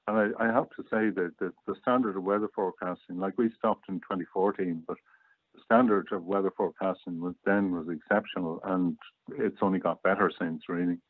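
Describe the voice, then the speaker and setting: Deep-voiced older Irish man on phone-like audio. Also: fairly even intonation, a little fast, no room sound. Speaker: guest interviewee. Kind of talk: casual reminiscing interview talk. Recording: thin phone-like call audio.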